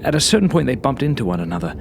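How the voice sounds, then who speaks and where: A man narrating a first-person horror story like a journal, brooding and sometimes hushed, in short halting phrases. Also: medium pitch, big pitch swings, vocal fry, background noise. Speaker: author reading own horror story.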